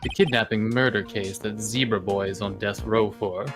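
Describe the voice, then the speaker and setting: Low-voiced man playing a blunt, practical sidekick. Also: fairly even intonation, moderate pace, natural voice. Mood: businesslike. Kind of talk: video game dialogue read aloud. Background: game music.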